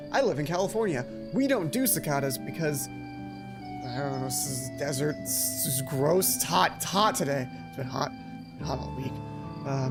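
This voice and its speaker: Low-pitched woman gamer over music. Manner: playful, self-interrupting asides. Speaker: let's play streamer. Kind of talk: reading game script aloud with casual commentary. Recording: music playing behind voice. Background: video game music.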